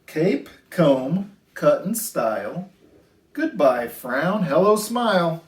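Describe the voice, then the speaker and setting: Man, storytime reader, slow sing-song pacing, lively swooping intonation, echoey room. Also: fairly high pitch. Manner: animated, sing-song delivery with drawn-out phrases. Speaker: storytime host reading picture books to children. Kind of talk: read-aloud children's storytime, slow and expressive. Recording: roomy, echoey indoor sound.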